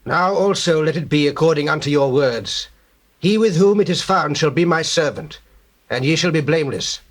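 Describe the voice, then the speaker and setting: An actor playing a calm steward of a great house, declaiming formally in dramatized scripture. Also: male voice, fairly high pitch, moderate pace, natural voice. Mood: firm. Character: steward of Joseph's house, calm servant in authority. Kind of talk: dramatized scripture, stage acting. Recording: noisy old cassette tape.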